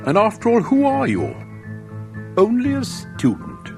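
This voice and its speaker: Older style storybook, man doing a snooty vain girl, indignant, voice way up high, bit of music. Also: wildly swooping pitch, a little slow, a little mellow, heavily acted. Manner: snobbish, indignant. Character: haughty, petulant, vain young girl. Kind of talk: dramatic fairy-tale storybook reading. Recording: music behind the voice.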